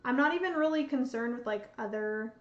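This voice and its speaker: A young woman, losing her voice, slowly talks herself through a solo board game at home, counting out pieces as she plays. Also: a little high, a little hiss or hum. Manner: slow, thinking aloud, counting pieces. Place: home room with bookshelves, indoors. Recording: roomy, slightly dull sound, soft music.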